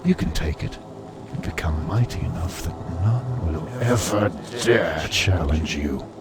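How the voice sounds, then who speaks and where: A man voicing an ancient, proud and coaxing entity of immense power in an audiobook, speaking in a deliberate, grandiose way. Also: low-pitched, expressive, background noise, pitched below the performer's own voice. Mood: coaxing, solemn. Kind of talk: audiobook character voice.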